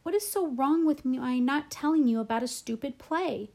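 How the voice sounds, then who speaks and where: A woman's voice: on the high side, animated, normal speed, a little mellow. Woman acting an exasperated teenage big sister. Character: defensive, exasperated teenage girl, older sister.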